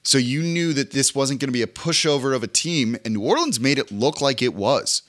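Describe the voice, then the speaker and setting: A hoarse man hosting a solo sports podcast, running through a game recap with brisk, emphatic stat-heavy delivery while fighting off illness. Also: mid-range voice, animated, a bit bright.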